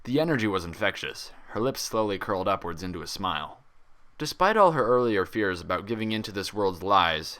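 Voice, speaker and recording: A man's voice: deep voice, fairly bright tone. A man narrating fan fiction as a scripted, read-aloud story.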